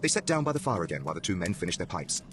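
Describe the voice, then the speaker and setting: A man's voice: deep voice, sing-song, rapid-fire, over music. A man narrating a horror story in a dramatic, scripted style.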